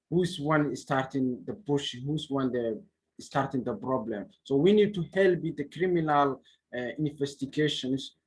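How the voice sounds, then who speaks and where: A man from Somalia presenting research at an online conference, in halting nonnative English with frequent restarts. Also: mid-range voice, breathy edge, low-quality recording. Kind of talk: academic presentation.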